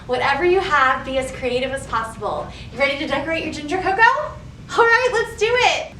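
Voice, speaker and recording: A woman's voice: sits high, pushed and bright. A woman hosting a children's storytime in a sing-song, questioning manner, giving instructions and reading picture books. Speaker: children's library storytime host. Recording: roomy, distant mic, faint background noise.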